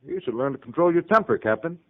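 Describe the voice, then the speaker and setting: A man's voice: fairly high pitch, animated, fast, natural voice. Stern army major with a swooping delivery man acting. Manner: authoritative, clipped. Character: stern, by-the-book army major, commanding veteran officer. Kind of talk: 1950s radio western acting. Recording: narrow, muffled 1950s radio broadcast.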